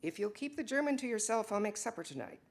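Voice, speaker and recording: A woman's voice: medium pitch, sing-song, quick pace, vocal fry. Woman reading a questioning, exasperated husband. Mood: wry. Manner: argumentative, probing. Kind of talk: staged dramatic reading.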